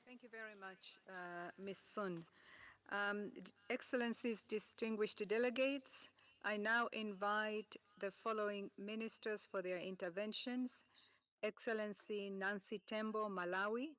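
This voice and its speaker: A woman's voice: mid-range voice, steady tone, measured pace, a bit bright. A woman moderating a formal online dialogue, formally calling on speakers and reading out a list of names and countries. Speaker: session moderator. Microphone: remote meeting mic.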